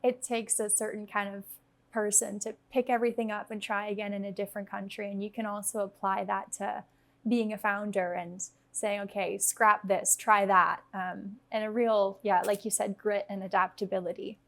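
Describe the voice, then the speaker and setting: A woman in her thirties, a native Dutch speaker, talking as a founder guest in a casual interview, animated and peppered with "like". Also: mid-range voice, moderate pace. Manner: animated storyteller, uses "like" often.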